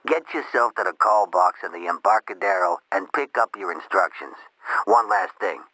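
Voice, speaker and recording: An actor playing a taunting street-crook kidnapper in a video game, talking in slangy threats. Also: male voice, low voice, lively intonation, normal speed, bright, forceful tone, no room sound, heavily acted, rough recording. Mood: taunting menace. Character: menacing, taunting street-crook kidnapper making ransom demands.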